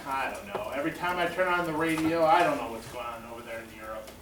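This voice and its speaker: A man's voice: mid-range voice, fast talker, a crowd in the background, natural voice. A man playing a wry, busy 1930s radio scriptwriter in a stage drama. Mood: wry, musing. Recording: echoey stage, far mic, faint noise.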